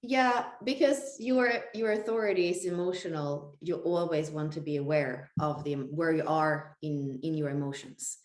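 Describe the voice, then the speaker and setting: A woman's voice: low-pitched, normal speed, few pauses, a little mellow. A woman co-hosting a live webinar, talking casually and reflectively, with a roomy echo around her voice. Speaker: webinar co-host. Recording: roomy, echoing sound.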